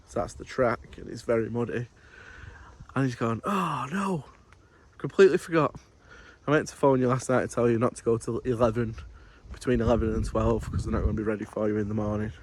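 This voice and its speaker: A man's voice: mid-range voice, brisk. A man giving a casual, rambling vlog update as a courier driver, in colloquial everyday speech.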